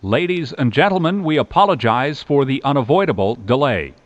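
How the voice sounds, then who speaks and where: A man's voice: mid-range voice, lively intonation, a little fast, dull audio. A man reading scripted public announcements as a subway announcer, with train ambience behind him.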